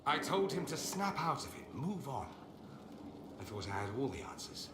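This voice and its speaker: An actor playing a witty, proud mage in a video game, speaking in a theatrical, sardonic and articulate manner. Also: male voice, medium pitch, sing-song, normal speed, lightly acted. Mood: rueful. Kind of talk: dramatic video game dialogue. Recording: roomy echo, faint ambient noise.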